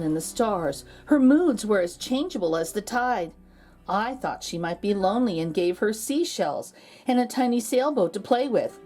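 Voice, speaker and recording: A woman's voice: mid-range voice, darkish tone. Woman reading a storybook aloud over music. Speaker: children's book read-aloud host. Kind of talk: storybook read-aloud narration.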